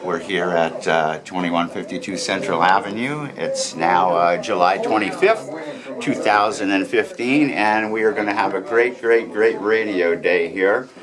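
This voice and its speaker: Low-voiced man introducing an event. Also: measured pace. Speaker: event host. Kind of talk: informal on-location event introduction. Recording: busy crowd behind him.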